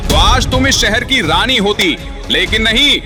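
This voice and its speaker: A cruel gangster boss in an audio drama, pitched high with wide, swinging intonation. He taunts, threatens and orders people about, out for revenge, over music. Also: animated, measured pace. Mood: mocking. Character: menacing male gangster boss, vengeful, mocking and cruel. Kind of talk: Hindi audio drama acting.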